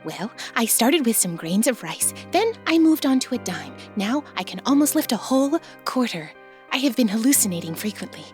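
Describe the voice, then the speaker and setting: An actress playing a sweet, spaced-out librarian in an audio drama, with quaint formal diction and earnest dreaminess. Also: female voice, fairly high pitch, sing-song, moderate pace, a little mellow, faint music behind. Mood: dreamy, earnest.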